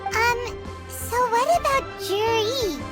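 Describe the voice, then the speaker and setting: Very high-pitched childlike fairy companion. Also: female voice, slow pace, over loud music. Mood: cheerful, curious. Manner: chirpy, speaks of self in third person. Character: tiny childlike floating fairy companion, eager, curious, food-loving. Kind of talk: video game voice acting.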